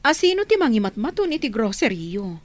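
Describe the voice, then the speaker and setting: High-voiced, concerned caring woman. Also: very animated, fairly quick, no room sound. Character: concerned, caring woman looking after orphaned children. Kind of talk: Ilocano radio drama acting.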